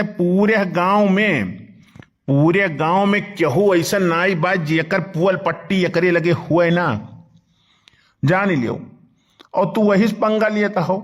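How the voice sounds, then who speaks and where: A man voicing a scolding village man who hands out blunt advice in a cartoon, with an Awadhi accent. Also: high-pitched. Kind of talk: cartoon comedy dialogue.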